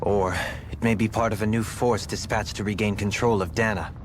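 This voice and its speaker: A man voicing a composed, erudite companion in a video game, measured and formal as he reasons things out. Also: lowish voice, steady tone, noisy background, close to an everyday voice. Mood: analytical. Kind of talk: video game cutscene acting.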